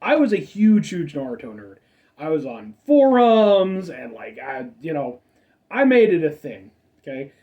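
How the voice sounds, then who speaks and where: A man's voice: fairly high pitch, big pitch swings, a little slow, warm tone, recorded from a distance, dull audio. A man in his thirties hosting a casual soda review show, rambling with chatty asides and a slightly stuffed-up nose. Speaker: food review show host.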